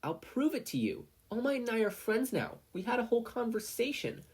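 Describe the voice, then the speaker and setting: An earnest, sensitive little boy , put on by an audiobook narrator, who is stubborn and insistent. The voice is lifted well above the narrator's own, lively and a touch husky. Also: medium pitch, fairly quick, voice pushed up. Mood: earnest, hesitant.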